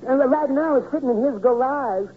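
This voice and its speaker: An actor playing a nervous, simple barber who stammers and keeps abandoning phrases, in a 1940s radio comedy. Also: male voice, extremely high pitch, fairly quick, husky. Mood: nervous, flustered. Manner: severe comic stammer, restarts and abandons phrases. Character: nervous, tongue-tied male barber, eager and simple. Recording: thin, narrow-band old radio recording.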